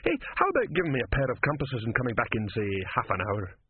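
A man's voice: medium pitch, wildly swooping pitch, fast talker, breathy edge. A man voicing a happily irreverent, argumentative old philosopher, on a narrow radio-like recording. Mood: cautious, polite. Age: older. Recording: phone-like narrow radio sound.

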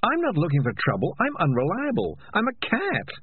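A man's voice: high voice, huge pitch swings, moderate pace, breathy edge, no room sound, narrow, tinny audio, voice pushed up, exaggerated voice. A man voicing a cynical, self-serving talking cat who wheedles and justifies himself. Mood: wheedling.

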